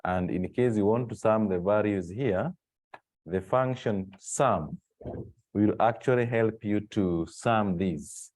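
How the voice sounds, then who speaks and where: A man teaching a spreadsheet lesson to an online class, explaining in a halting, repetitive way with frequent pauses. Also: fairly low pitch, a little mellow. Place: live online class over a microphone.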